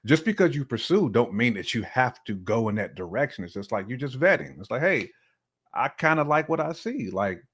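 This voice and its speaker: A man's voice: medium pitch, a bit bright. A man with an American accent talking casually and colloquially as a podcast co-host, with plenty of slang. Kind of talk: casual conversational discussion.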